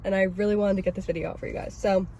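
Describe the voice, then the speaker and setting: A young woman with a cold, sounding raspy and stuffy, chatting casually and rambling in a self-critical way as she vlogs from her car. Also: medium pitch, fairly even intonation, rapid-fire, warm tone, slight background noise. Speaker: vlogger host. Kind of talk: casual oversharing vlog talk. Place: inside a parked car.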